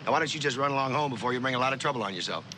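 A man's voice: mid-range voice, racing, close to an everyday voice. A man playing a smug blackmailing spy in an old suspense drama, mocking and sardonic with theatrical emphasis. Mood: sardonic, taunting. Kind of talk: mid-century American TV suspense drama acting. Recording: noisy old TV soundtrack, outdoor engine noise.

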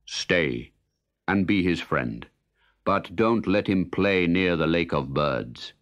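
Man as the deep, grave, fatherly Sun. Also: animated, highs cut off. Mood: grave warning. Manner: slow, solemn. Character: grave, fatherly sun, great lord of sky.

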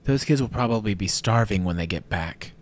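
A man's voice: fairly low pitch, lively intonation, a little fast, a little hiss or hum, natural voice. A man playing a practical staff scientist in a fan audio drama.

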